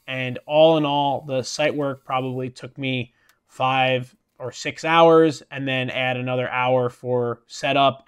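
A man in his thirties, a welder and shop owner, talking through his work in a relaxed, practical, matter-of-fact way. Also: mid-range voice. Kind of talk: explanatory how-to narration. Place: metal workshop, indoors.

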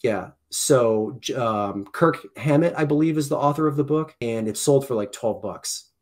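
A man's voice: medium pitch, normal speed, darkish tone. A man in his thirties as a podcast guest, chatting casually on a video call with a headset mic, often restarting and correcting himself. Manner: rambling, self-correcting, many restarts. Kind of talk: casual chat. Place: home room with posters. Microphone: headset and desk mic, video call.

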